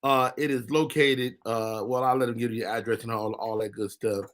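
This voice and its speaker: A man hosting a live chat show, laid-back and quick with interjections. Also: mid-range voice, steady tone, fairly bright tone. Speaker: host.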